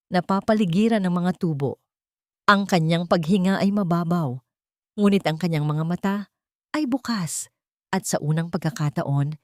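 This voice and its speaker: A woman narrates a scripted audio drama in a soft, solemn storytelling manner. Her voice sits low. The recording is close-miked and completely dry, with no room sound. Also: dry recording.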